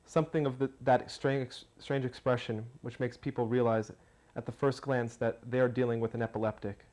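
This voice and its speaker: A man playing a gentle, embarrassed figure, speaking in a measured, reflective monologue. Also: medium pitch, flat delivery, natural voice. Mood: somber.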